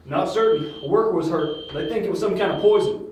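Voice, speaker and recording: A man's voice: sits high, a little fast, a little mellow, close to an everyday voice. A man playing a curt, official police officer in an amateur audio drama. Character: curt male police officer clearing an area. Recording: distant, very echoey, faint noise.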